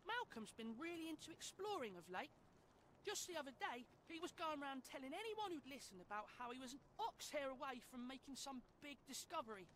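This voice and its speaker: An actress playing an eager young villager in a fantasy game, expressive and emphatic. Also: female voice, high-pitched, brisk, slight background noise. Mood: gossipy. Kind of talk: animated fantasy game acting.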